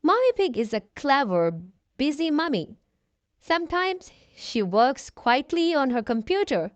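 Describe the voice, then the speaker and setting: A woman narrates a picture book for small children in a high voice. Her delivery is expressive and swings widely in pitch, speaking straight to her young audience. The sound is dry, with very little room around it. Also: a little slow, dry recording, dull audio. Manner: addresses young children directly, expressive storytelling. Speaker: children's storybook reader, channel host. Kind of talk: read-aloud children's story narration.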